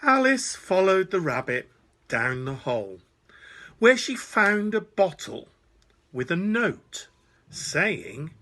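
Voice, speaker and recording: Male storyteller for children's bedtime tale: bright, slightly husky voice, expressive and deliberate. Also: high voice.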